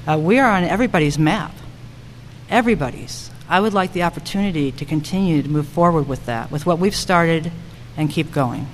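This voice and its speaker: A woman's voice: sits low, fairly quick, low-quality recording. A woman giving emphatic candidate remarks at a public forum, often repeating phrases for weight. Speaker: city council member and candidate. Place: public forum room at a school. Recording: faint noise and room ambience.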